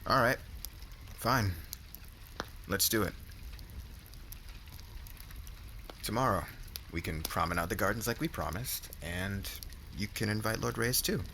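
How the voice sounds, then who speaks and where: Over clear ambient noise comes a low, lively-pitched young warrior, acted by a man. He is a devoted, laid-back husband in a romance roleplay, teasing and slangy. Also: heavily acted. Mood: affectionate, reassuring. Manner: casual slangy talk, stammers when flustered.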